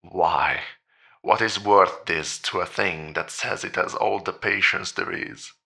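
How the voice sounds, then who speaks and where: A man's voice: low-pitched, slow, vocal fry, bright, forceful tone, heavily acted. An actor playing a tormented, defiant priest in a horror audio drama, intense and confrontational in his delivery. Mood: bitter, challenging. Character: tormented male priest, defiant, grieving, challenging a demon.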